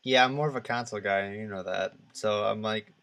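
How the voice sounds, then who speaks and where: A man co-hosting a casual gaming podcast, talking in a halting, rambling and opinionated way. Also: on the low side, a bit bright. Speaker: podcast co-host.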